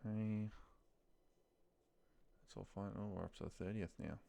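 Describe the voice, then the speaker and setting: A man speaks in a deep register with plenty of vocal fry. He talks through a computer task casually, as an office trainer would, thinking aloud. His short phrases often sound muttered to himself. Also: fairly even intonation, moderate pace, dark tone. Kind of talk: casual screen-share walkthrough, thinking aloud. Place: indoors at a computer, screen recording.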